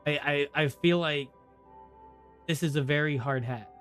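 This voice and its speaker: A man's voice: a little high, measured pace, a bit bright. A man giving casual gameplay commentary as a streamer, rambling and often repeating himself. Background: faint game music.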